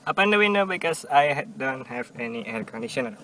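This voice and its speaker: A young man with an Indonesian accent chatting casually for a vlog while driving, haltingly repeating and correcting his words. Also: medium pitch, lively intonation, bright, forceful tone, poor audio. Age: young adult. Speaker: vlogger. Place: inside a car with windows open, driving. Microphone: camera propped on the dashboard. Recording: clear car and traffic noise.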